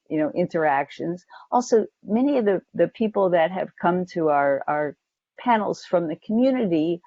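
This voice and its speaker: A panelist explaining points in a steady, measured way. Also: medium pitch, dry recording. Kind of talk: panel discussion. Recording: dry, dull lo-fi audio.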